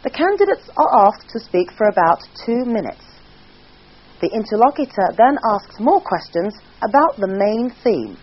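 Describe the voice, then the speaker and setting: A woman's voice: a little high, normal speed, slightly rough. A woman giving formal scripted narration that explains an exam. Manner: formal, even delivery. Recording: dull lo-fi voice-over.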